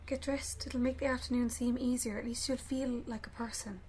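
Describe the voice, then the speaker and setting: Irish actress as bereaved young mum, female, highish soft breathy voice, tired coaxing, radio drama. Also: fairly even intonation, normal speed, slight background noise, natural voice. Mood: pleading. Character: grieving young mother, weary, gently coaxing her partner. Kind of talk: radio play acting.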